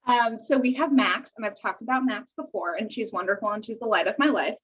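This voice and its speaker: A woman's voice: a little high, a little fast, fairly bright tone. A woman podcast co-host chatting casually on a remote call, in a bubbly and chatty way. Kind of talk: casual chat. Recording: dull, lo-fi call audio.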